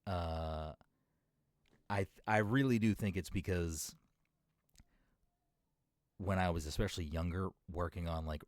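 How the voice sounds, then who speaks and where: A man's voice: sits low, unhurried, pauses often, no echo, studio quality. A young man from Massachusetts without much of a regional accent, chatting on a podcast, rambling through tangents with careful enunciation. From Massachusetts, USA. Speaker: podcast co-host. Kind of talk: casual chat.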